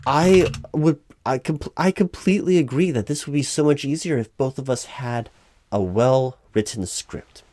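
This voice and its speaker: A man playing a weary, politely insistent recruiting manager in a one-sided interview roleplay, over-explaining in a halting, repetitive way at normal speaking volume. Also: medium pitch, darkish tone, close to an everyday voice. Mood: exasperated. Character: exasperated male recruiting manager, polite but increasingly weary. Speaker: job interviewer.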